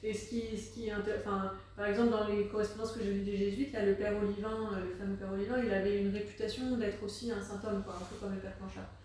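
A woman weighs her thoughts aloud in a relaxed group discussion, stumbling over restarts and tossing in quick agreements. Her pitch barely moves. She sits well away from the microphone, and the room rings with echo. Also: a little hiss or hum. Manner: hesitant, many restarts and agreeing interjections. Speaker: discussion participant. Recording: echoey room, distant mic.

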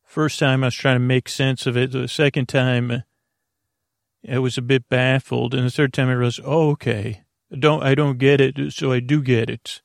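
A man's voice: medium pitch, level tone, moderate pace, no echo, clean studio sound. A man hosting a sleep podcast, rambling in a hesitant, meandering way with lots of fillers and restarts. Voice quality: creaky. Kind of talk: rambling bedtime monologue.

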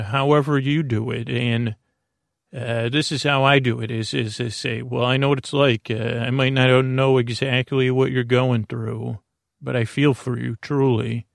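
A man hosting a sleep podcast in a creaky voice, gently reassuring as he rambles, halts, repeats himself and trips over words in a soothing monologue. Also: medium pitch, no room sound, clean studio sound. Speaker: podcast host.

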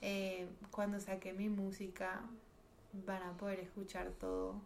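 A young woman from Barranquilla with a light Colombian coastal accent mixed with Bogotá speech, a guest chatting warmly and a little shyly. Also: mid-range voice, monotone, measured pace, creaky, a little hiss or hum. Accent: mild Colombian coastal mixed with Bogotá. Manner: warm, agreeing, a little shy. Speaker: podcast guest, singer. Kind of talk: casual chat.

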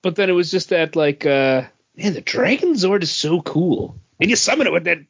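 A man's voice: sits high, expressive, normal speed, breathy edge, no echo, dull audio. A man co-hosting a podcast in casual, enthusiastic and informal geek chat. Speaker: podcast co-host. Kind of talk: casual pop-culture chat.

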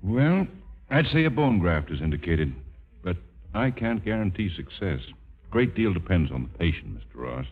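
An actor playing a measured, candid surgeon in a 1950s radio drama. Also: male voice, low-pitched, very animated, slightly rough, heavily acted. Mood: grave, courteous. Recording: narrow-band, tinny old radio recording.

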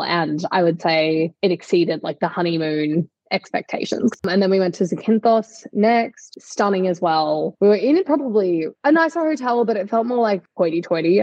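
Low-voiced chatty woman, slightly muffled recording. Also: darkish tone, no room sound. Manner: chatty, gushing, informal. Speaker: podcast host. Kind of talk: casual chat.

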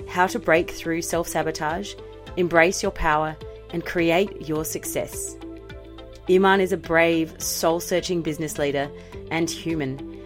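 A woman podcast host giving a measured, clearly read introduction. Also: deep voice. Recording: music bed behind the voice. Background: music.

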